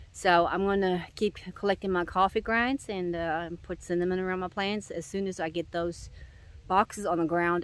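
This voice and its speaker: Lowish-voiced woman, stuffed up and nasal, rambling garden tour outside, keeps correcting herself. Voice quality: nasal from congestion. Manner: rambling, self-correcting, sighing. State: congested. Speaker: gardening vlogger. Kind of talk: casual garden walk-through. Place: outdoors in a home garden. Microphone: handheld camera filming while walking.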